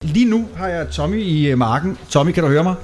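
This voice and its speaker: A man with a fairly high voice hosts live satire radio. He speaks casually, with a teasing, irreverent manner. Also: animated, a little mellow. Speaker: studio host of satire show.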